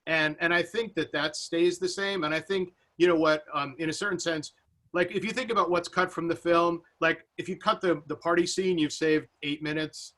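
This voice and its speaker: Bright, higher-pitched man thinking aloud. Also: fairly even intonation. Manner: halting, searching delivery with repeated words. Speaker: panelist. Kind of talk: unscripted panel discussion.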